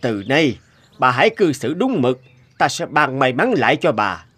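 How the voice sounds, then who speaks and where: An actor playing a stern, dignified god of wealth in an animated folk tale, speaking formally and admonishingly. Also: male voice, mid-range voice, normal speed, slightly rough. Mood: solemn.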